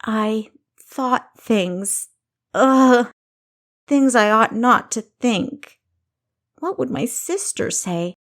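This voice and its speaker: A woman voicing a shaken, ashamed young woman in an audiobook, sullen and halting. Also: a little high, lively intonation, pitched above the performer's own voice, exaggerated voice. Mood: distressed, halting. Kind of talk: audiobook character dialogue.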